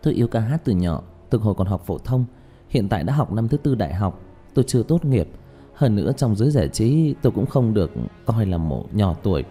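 A man's voice: lowish voice, very animated, a little fast, dark tone, faint music behind. A man voicing a polite, hesitant young female singer in an audiobook story, with an earnest manner. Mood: apologetic, explaining. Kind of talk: audiobook character dialogue.

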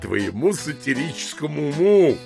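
An actor playing a brash, boastful uninvited guest who rambles familiarly and exclaims theatrically in an audio drama. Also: male voice, fairly high pitch, big pitch swings, moderate pace, gravelly, a little mellow, faint music behind. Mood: excited, gossiping. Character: brash boastful adult man, chatty uninvited guest, lying braggart.

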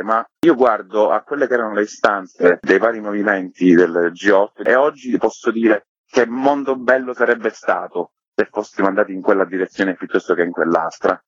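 A man's voice: on the low side, dry recording, highs cut off. A man speaking in an earnest, explanatory way, as a theatre maker being interviewed. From Sicily, Italy. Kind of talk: thoughtful interview talk.

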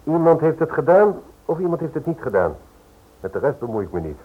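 A man's voice: a little high, big pitch swings, a little fast. An actor playing a brusque, cynical male lawyer in a Dutch radio drama, curt and sceptical with his visitor. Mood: dismissive. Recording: muffled, lo-fi old radio recording.

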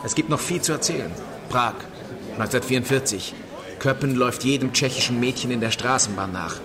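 A man's voice: medium pitch, a little fast, close to an everyday voice. An actor playing a sly, sardonic film-world man who needles others theatrically in a radio drama. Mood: sly, taunting. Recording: busy crowd chatter behind.

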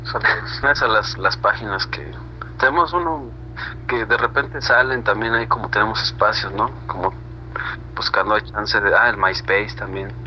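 A low-pitched Mexican man chats as an interview guest. He rambles casually in slang, often ending on a tag question. The internet call sounds dull and slightly noisy. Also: normal speed. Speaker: reggae band member being interviewed.

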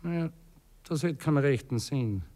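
An actor playing a polite, affected count in a radio play, halting, courteous and evasive, with an Austrian accent. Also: male voice, fairly high pitch, mellow, close to an everyday voice. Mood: hesitant, evasive. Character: hesitant, polite, affected male aristocrat, a count. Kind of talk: intimate radio play acting.

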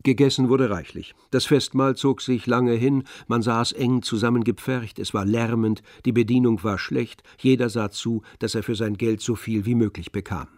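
An actor as a composed, observant narrator reading literary prose in a radio play. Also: male voice, medium pitch, fairly even intonation, normal speed, few pauses, darkish tone, natural voice. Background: music.

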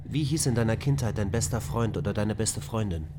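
A man's voice: fairly low pitch, brisk, close to an everyday voice. Man voicing a clipped, commanding spaceship computer. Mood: calm. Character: calm male ship's computer voice. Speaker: onboard computer. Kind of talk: radio drama acting. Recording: noisy radio play recording.

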